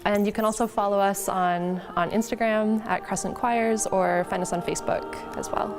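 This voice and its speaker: A woman from a youth choir giving its contact details in a relaxed, casual way, with the odd filler. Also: mid-range voice, moderate pace. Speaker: choir representative. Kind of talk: casual promotional chat. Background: music.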